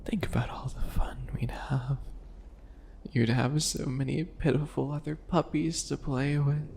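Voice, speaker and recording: A smug little bunny alchemist, acted out by a man, taunts in a slow ASMR roleplay. The voice is gravelly with fry and slips into breathy, mocking whispers. It sounds sweetly possessive, with some noise in the background. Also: mid-range voice, fairly even intonation, a little mellow. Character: smug, teasing, obsessive yandere bunny alchemist, male.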